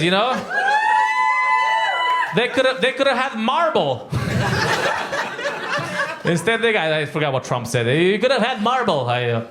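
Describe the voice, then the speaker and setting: A male comedian working a live crowd, his voice high and swinging widely in pitch as he teases and laughs along. The sound is roomy and echoing, with a packed audience laughing around him. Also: heavy background noise. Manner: teasing, animated, laughing through jokes. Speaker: stand-up comedian doing crowd work. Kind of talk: live stand-up crowd work. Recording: echoey club with crowd laughter.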